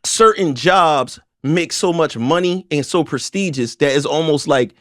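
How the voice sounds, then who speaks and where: High-voiced joking man, dry sound. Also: a little slow, fairly bright tone, no echo. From half Puerto Rican. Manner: joking, hesitant, many fillers. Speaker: podcast co-host. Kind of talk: casual podcast chat.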